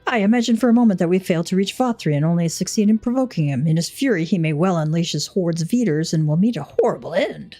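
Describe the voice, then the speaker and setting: A woman's voice: lowish voice, lively intonation, fast talker, few pauses, warm tone, faint music behind. A woman voicing an anxious, devoted inventor husband in a video game, speaking in a formal, wordy, self-doubting way. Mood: hesitant, worried.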